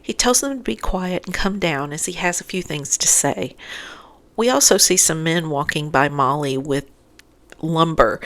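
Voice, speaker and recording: Female recap host, lowish pitch with heavy fry, scripted but chatty. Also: a bit bright. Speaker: host of a TV episode recap podcast.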